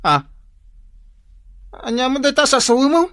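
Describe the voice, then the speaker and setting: A man's voice: very high voice, very animated, moderate pace, fairly bright tone, dry recording, studio quality, heavily acted. A man playing a boastful, evasive husband in a radio drama, with an Ilocano Filipino accent. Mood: flustered. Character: boastful, evasive cheating husband working from home.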